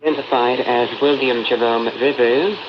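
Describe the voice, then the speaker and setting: A man's voice: medium pitch, steady tone, normal speed. A man reading scripted news as it comes over a shortwave radio. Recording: dull, very noisy shortwave radio broadcast.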